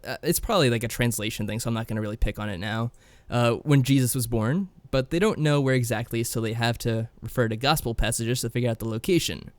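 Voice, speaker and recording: Male podcast co-host, wry and tongue-in-cheek, casual recap delivery, mid-pitched, very dry close recording. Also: fast, hardly any pauses, no echo. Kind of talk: casual discussion and game recap.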